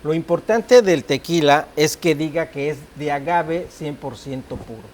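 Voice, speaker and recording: A Mexican man with a Mexican Spanish accent, a guest on a talk show who explains things calmly. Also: on the high side, measured pace. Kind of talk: conversational interview.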